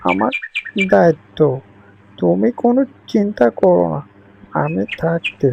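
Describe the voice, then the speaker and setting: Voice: medium pitch, gravelly. A voice actor playing a kind, trusting female songbird who talks in a reassuring, welcoming way. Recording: noisy recording.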